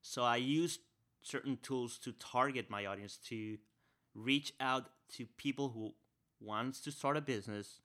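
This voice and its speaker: A man's voice: mid-range voice, measured pace, pushed and bright. A young man with an accent from Spanish as his first language, hosting a podcast with energy, often repeating points and tagging "right?". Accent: Spanish-speaker's accent. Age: young adult. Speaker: podcast host. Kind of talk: podcast interview and solo wrap-up.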